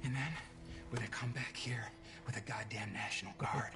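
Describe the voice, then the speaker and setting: An actor playing a hard-bitten US marshal on the run in a cinematic video game, gritty and urgent. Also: male voice, mid-range voice, normal speed, creaky, close to an everyday voice. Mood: grim, determined. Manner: gritty, urgent, swearing under his breath. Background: game music.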